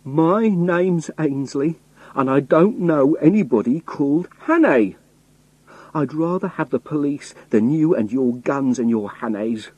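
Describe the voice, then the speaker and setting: A man voicing a hard-up sailor in a story, rough, pleading and defiant as he protests. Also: mid-range voice, sing-song, normal speed, pauses often, darkish tone, no echo. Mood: angry protest.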